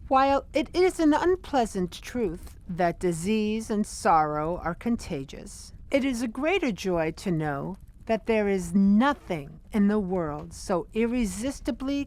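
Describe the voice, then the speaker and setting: A woman narrating an audio drama as a storyteller, with measured, deliberate delivery. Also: mid-range voice, a little mellow.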